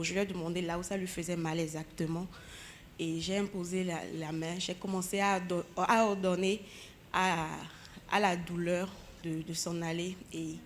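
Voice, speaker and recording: A woman with a West African French accent telling a church testimony in a measured way. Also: lowish voice, slight background noise. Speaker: church member giving testimony.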